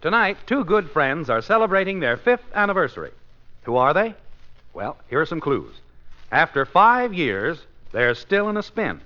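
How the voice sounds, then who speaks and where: A man's voice: a little high, very animated, moderate pace, pushed and bright, faint music behind. A man announcing a 1950s radio show in a scripted, presentational style, on a dull vintage recording.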